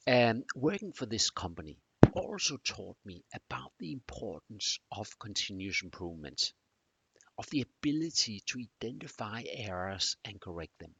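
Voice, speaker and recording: Low, gravelly man with a Danish accent. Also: fairly bright tone, no room sound. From Denmark. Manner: halting, many fillers and pauses. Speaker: teacher. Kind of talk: recorded course introduction.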